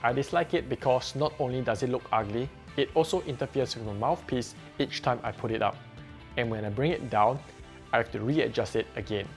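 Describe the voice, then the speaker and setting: A man reviewing a headset in a plain, measured, explanatory way, as a scripted voice-over. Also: medium pitch, faint music behind. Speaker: tech reviewer. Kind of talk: scripted product review narration.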